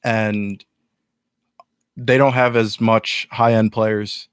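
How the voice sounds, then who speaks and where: A man's voice: lowish voice, level tone, extremely slow, smooth, no room sound. A young man guesting on a casual chat show, earnestly breaking down his team's chances. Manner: earnest, explains at length with restarts.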